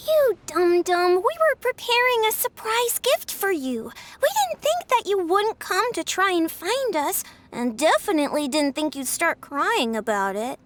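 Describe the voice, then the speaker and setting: A woman voicing playful, excitable cute girls in an animated video game dub. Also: extremely high pitch, slight background noise, exaggerated voice. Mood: surprised. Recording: loud music behind. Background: game music.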